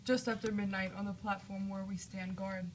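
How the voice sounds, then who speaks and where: Loyal, cautious young guardsman, read by a woman, mid-pitched, amateur puppet drama. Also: level tone, normal speed, darkish tone, a little hiss or hum, close to an everyday voice. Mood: earnest. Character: loyal, cautious male friend Horatio, a guard. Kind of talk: amateur scripted puppet-show acting.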